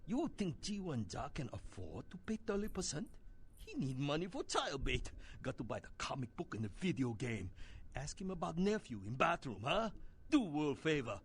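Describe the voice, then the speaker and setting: A man playing a shady older Chinese gangster in a video game, crude and scheming, speaking broken English with a Chinese accent in a gravelly voice. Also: on the high side, big pitch swings, normal speed, heavily acted. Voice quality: gravelly, husky.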